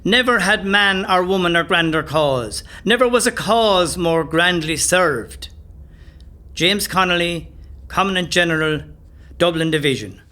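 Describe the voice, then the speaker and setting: Rebel commander, played by a man: high, ringing delivery, proud and oratorical, audio drama. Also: expressive, measured pace, sharp tone, a little hiss or hum, heavily acted. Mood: stirring, proud. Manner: oratorical, solemn. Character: rousing rebel commander declaiming a wartime dispatch. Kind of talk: declaimed speech in an audio play.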